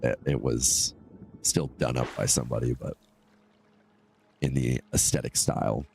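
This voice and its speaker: A man's voice: very deep voice, steady tone, measured pace, vocal fry, darkish tone, a little hiss or hum. A male streamer chatting casually with his viewers while he plays, stuffed up from a cold and rambling between topics. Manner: rambling, chatty, answers chat comments. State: stuffed-up nose. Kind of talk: casual live-stream chat while gaming.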